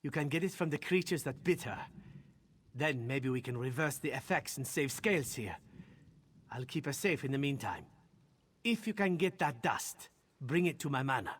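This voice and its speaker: Male game vampire: husky voice, guarded and curt, grimly urgent. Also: medium pitch, animated, normal speed, fairly bright tone. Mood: guarded, commanding. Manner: blunt, ominous. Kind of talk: video game dialogue.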